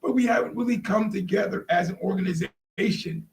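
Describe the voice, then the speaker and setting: A man's voice: high voice, fairly quick, gravelly, a little mellow. A man talking casually in a panel discussion, stressing his points by repeating phrases. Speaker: podcast panel host. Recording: roomy, distant from the mic.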